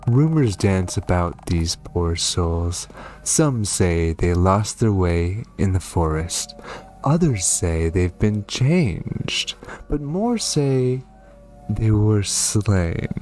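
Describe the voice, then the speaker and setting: A man hosting a horror game playthrough, reading and commenting in an expressive, theatrical way. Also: fairly low pitch, slow, faint music behind. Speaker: gaming video host.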